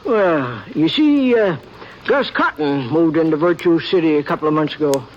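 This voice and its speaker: Actor as an easygoing old frontier doc, high folksy drawl, chuckles; dull lo-fi old radio sound. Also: male voice, lively intonation, moderate pace, a little hiss or hum, lightly acted. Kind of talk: 1950s radio drama acting.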